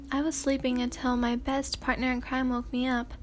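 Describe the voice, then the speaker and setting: A woman hosting a sleepy ASMR channel, reading fan fiction aloud in a soft, hesitant voice with many fillers and throat clears. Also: high voice, flat delivery, a little slow, music in the background.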